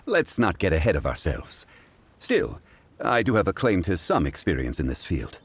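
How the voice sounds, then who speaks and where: A man voicing a composed, modest, erudite gentleman in a video game, formal, measured and courteous. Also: sits low, wildly swooping pitch, noisy background, exaggerated voice. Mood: calm, amused. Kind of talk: video game dialogue acting.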